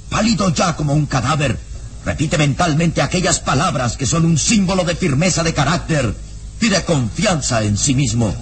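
Grave, higher-pitched male radio serial narrator. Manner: grave, theatrical. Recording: radio drama with music bed.

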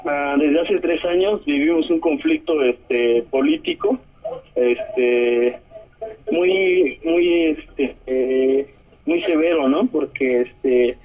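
A man from Oaxaca with a southern Mexican accent gives a halting spoken testimony by telephone, often adding short tag questions. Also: on the high side. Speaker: detained community activist giving a statement. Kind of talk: spoken testimony by phone to a press conference. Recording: muffled, narrow-band telephone line.

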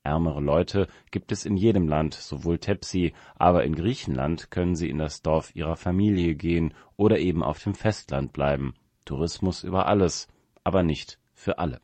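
Male presenter for a written essay, low and dark voice, very dry sound. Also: normal speed, dry recording. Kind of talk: scripted commentary read aloud.

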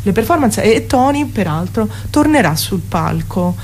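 A woman's voice: medium pitch, animated, moderate pace, a little mellow, a little hiss or hum. A woman speaking as a musician guest in a casual radio interview, hesitant and often correcting herself.

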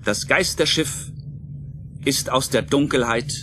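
A man's voice: medium pitch, slow, lightly acted. A man giving dramatic scripted narration as the storyteller of a German radio play. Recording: music bed under the narration.

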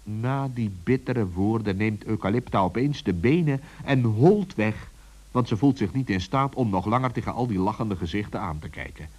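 A man's voice: lowish voice, animated, moderate pace, darkish tone, breathy edge. A man narrating a children's story expressively, as a storyteller, on a dull vintage recording.

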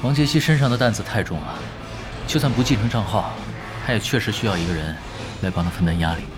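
An actor playing a laid-back, cocky veteran pro gamer in an audio drama, wry and self-assured. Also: male voice, fairly low pitch, fast talker, over music, close to an everyday voice. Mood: calm, analytical.

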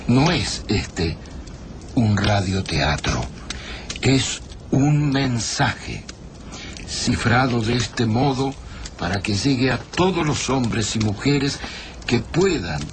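A man giving solemn, deliberate literary narration from a script, as a writer-narrator. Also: medium pitch, animated, background noise.

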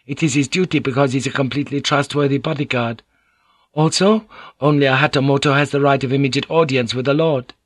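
A man voicing a polite, composed Japanese woman interpreter in an audiobook story, speaking in a measured, formal and deferential way. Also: medium pitch, steady tone, dry recording, clean studio sound, voice pushed up, close to an everyday voice. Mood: formal, explaining.

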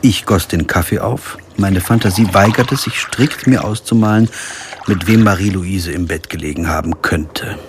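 An actor playing a brooding, weary middle-aged lawyer who narrates his own investigation in a measured, introspective way in a radio crime drama. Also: deep voice, background noise, natural voice. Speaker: narrator and lead. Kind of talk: scripted first-person narration and dialogue in a radio crime drama.

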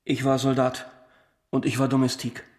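A man's voice: medium pitch, monotone, moderate pace, darkish tone, lightly acted. A man playing a scrawny middle-aged servant held prisoner in a radio play, terse, defensive and pleading. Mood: frightened surrender. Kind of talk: German radio play acting.

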